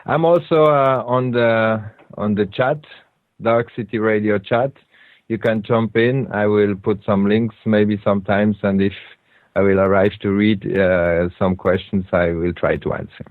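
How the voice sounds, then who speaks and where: A man's voice: fairly low pitch, fairly even intonation, dry recording. A man with a Swiss German accent, a native Swiss German speaker hosting a radio show, talking in an unscripted monologue that halts often and bends English grammar. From Switzerland. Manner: halting, with frequent hesitations and non-native grammar. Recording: tinny phone-like line, dry.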